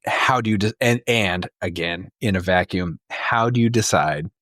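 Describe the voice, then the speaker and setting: A man's voice: deep voice, moderate pace, a bit bright, dry recording, clean studio sound. A man co-hosting a podcast, bantering casually with blunt, sweary and emphatic opinions. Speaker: podcast co-host.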